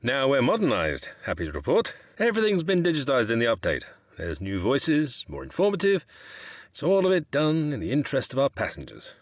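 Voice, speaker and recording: A man's voice: mid-range voice, wildly swooping pitch, fairly quick, no room sound. A man playing a polite but flustered, officious transport director in an audio drama, hesitant and bureaucratic. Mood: breezily officious.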